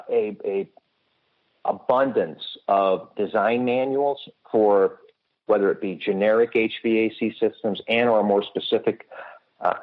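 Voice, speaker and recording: Low-pitched man on a phone-like line. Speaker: guest expert. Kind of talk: podcast interview, explanatory.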